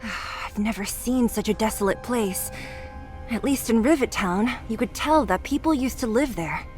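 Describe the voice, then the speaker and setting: Game role: composed, earnest young woman adventurer, acted by a woman, fairly high voice with music underneath. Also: normal speed, a little mellow, lightly acted. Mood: somber. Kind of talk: video game voice acting.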